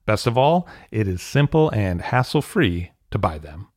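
A man with a low voice, recorded dry and close, hosting a podcast. He reads an ad script in an even, scripted way and then asks his guest questions. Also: a little slow, dry recording. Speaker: podcast host. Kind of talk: read-out ad and interview questions.

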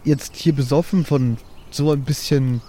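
A man's voice: mid-range voice, unhurried, dark tone. A man casually improvising as a player in a tabletop role-playing audio game.

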